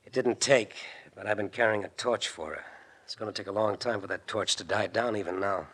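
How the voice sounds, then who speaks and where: Casting: menacing American mob boss, lowish forceful voice, commanding and terse, old-time radio crime drama. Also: male voice, a little fast, husky. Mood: bitter. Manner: clipped, commanding, slangy. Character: tough, menacing gangster boss, bossy, bitter jilted husband.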